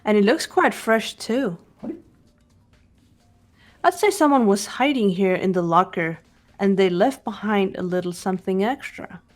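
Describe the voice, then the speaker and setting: A woman's voice: mid-range voice, measured pace, pauses often, soft background music, close to an everyday voice. Woman voicing a cool, analytical teenage girl investigator. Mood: explaining. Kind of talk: amateur fan voice acting of a game script.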